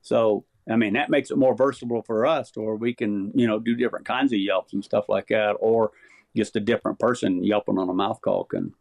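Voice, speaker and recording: A man's voice: fairly low pitch, fairly even intonation, fairly quick, vocal fry, darkish tone, dry recording. Easygoing man talking turkey calls. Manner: easygoing, plainspoken, chuckles often. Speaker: champion turkey caller, guest. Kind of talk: casual podcast chat.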